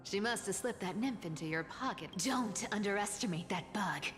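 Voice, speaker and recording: A woman's voice: mid-range voice, lively intonation, moderate pace, lightly acted. A woman playing a sly, defiant young female thief in a game, teasing, guarded and sardonic. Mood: sly, knowing. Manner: teasing, sardonic, breathy asides. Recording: long reverb with music behind.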